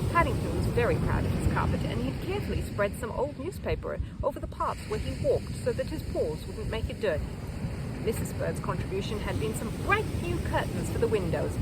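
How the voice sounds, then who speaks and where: A woman reading a children's story aloud as an expressive audiobook narrator. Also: a little high, very noisy. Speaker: storybook reader.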